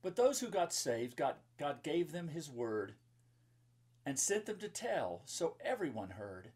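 A man's voice: fairly high pitch, big pitch swings, measured pace, a little mellow, slightly rough. A man in his fifties, a pastor reading and talking to children in simple, explanatory phrases as in a Bible story time. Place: book-lined room indoors.

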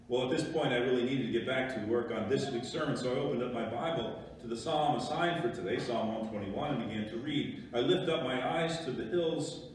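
Echoey American male pastor preaching a sermon. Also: mid-range voice. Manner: measured, rhetorical questions, emphatic pauses. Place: church sanctuary. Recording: very echoey church, distant mic, background noise.

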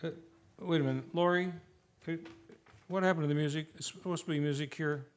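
A man's voice: fairly high pitch, fairly even intonation, brisk, natural voice. A man playing an exasperated, formal radio show host, announcing in a vintage radio style. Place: radio studio. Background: music.

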